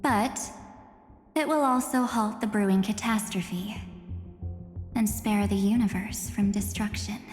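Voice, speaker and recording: A woman playing a coolly taunting, sinister antagonist in a game dub, deliberate and teasing, with phrases trailing into breathy whispers. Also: mid-range voice, darkish tone, natural voice. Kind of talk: English game dub voice acting. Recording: echoey hall reverb, music behind.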